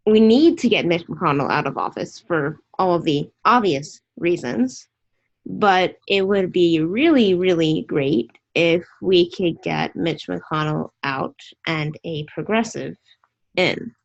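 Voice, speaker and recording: A woman's voice: lowish voice, slow, gravelly, highs cut off. A woman hosting a casual online interview, chatty and opinionated, rambling with restarts.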